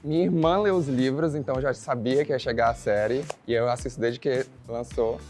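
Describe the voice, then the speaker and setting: A man in his twenties from Brazil, a contestant answering in a relaxed, matter-of-fact way. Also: medium pitch, a little fast, over music. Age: 20s.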